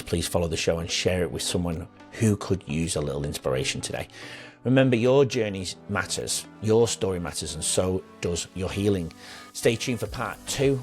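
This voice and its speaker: A man hosting a podcast, chatting earnestly in a rambling way, then closing with an encouraging sign-off. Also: sits low. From England. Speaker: podcast host. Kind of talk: casual interview chat and scripted outro.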